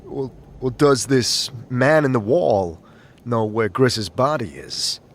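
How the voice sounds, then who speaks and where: A man's voice: medium pitch, lively intonation, slow, slight background noise. A man playing a curious, patient, slightly wary adventuring leader in an audio drama, with a hesitant, questioning manner. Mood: curious, careful.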